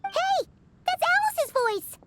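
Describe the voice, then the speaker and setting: A woman voicing a small, excitable, childlike fairy companion in an anime game, cheerful and impatient. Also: very high-pitched, slow pace, full character voice. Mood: surprised. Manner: speaks of self in third person.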